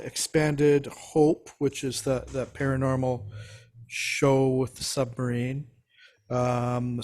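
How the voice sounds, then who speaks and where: Slow-talking man, casual update. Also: mid-range voice, darkish tone. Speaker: screenwriter giving update. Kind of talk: casual meeting chat. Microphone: video call.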